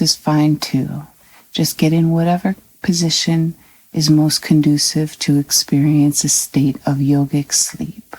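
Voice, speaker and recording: A woman reading a scripted guided meditation in a calm, soothing, unhurried manner with long pauses. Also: low voice, no room sound, rough recording.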